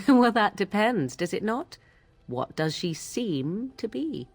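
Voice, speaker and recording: A woman's voice: medium pitch, big pitch swings, slow, slightly rough, no room sound, exaggerated voice. An actress voicing an aloof, sly witch in a fantasy video game, speaking in arch, old-fashioned formal phrases. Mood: amused, wry. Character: aloof, sly witch with a wry, mocking temper.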